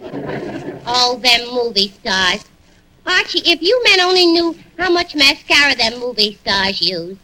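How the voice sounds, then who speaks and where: A woman's voice: fairly high pitch, very animated, takes it slowly, sharp tone, exaggerated voice, rough recording. An actress playing a brash, vain young tavern woman, sassy and ungrammatical, in 1940s radio comedy style. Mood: gossipy, vain.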